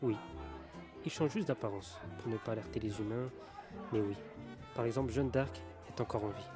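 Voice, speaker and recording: An actor playing an earnest, insistent conspiracy theorist stranger in a bar, in an audio drama. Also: male voice, mid-range voice, moderate pace, close to an everyday voice. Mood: grave, convinced. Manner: earnest, urgent explaining. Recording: music and bar chatter behind.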